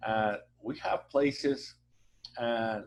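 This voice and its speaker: A man's voice: mid-range voice, a little hiss or hum. Mexican man talking up his hometown. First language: Spanish. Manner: halting, many fillers and restarts, warm promotional tone. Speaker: hotel association president, guest. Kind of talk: conversational interview.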